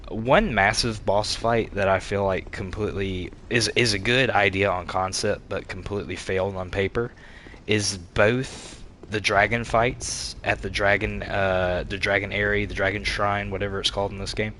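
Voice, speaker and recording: A man with a stuffy, congested voice, livestreaming a video game with casual, halting commentary and replies to chat. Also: on the low side, fairly even intonation, sharp tone, a little hiss or hum. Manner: halting, reading and answering chat aloud. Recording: game music behind the voice. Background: video game music.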